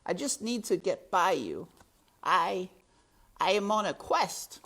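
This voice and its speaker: A woman's voice: medium pitch, very animated, slow, a bit bright, pitched above the performer's own voice, heavily acted. A woman voicing a small, timid but earnest male mouse on a quest, speaking hesitantly. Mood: earnest nervous.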